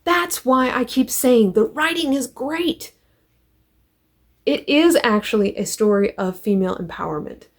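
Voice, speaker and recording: A woman's voice: fairly high pitch, expressive, a little slow. A woman hosting a review, retelling and critiquing a story in an emphatic, conversational way with rhetorical questions. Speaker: comic book reviewer and host.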